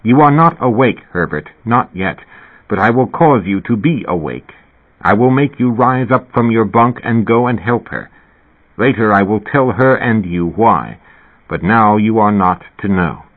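A man's voice: fairly low pitch, animated, unhurried. A man voicing a stern mountain deity in an audiobook, solemn and commanding. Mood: commanding, threatening. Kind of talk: audiobook character dialogue. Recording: narrow, phone-like band.

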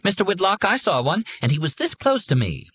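A man putting on a thrilled little child who can't wait to tell, pitched far up with bright, eager energy. The voice sounds close and dry, with no room around it. It suits a kid in a storybook read aloud. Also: very animated, brisk, breathy edge, dry recording, exaggerated voice. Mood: excited. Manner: eager, breathless. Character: excited little child on Mars.

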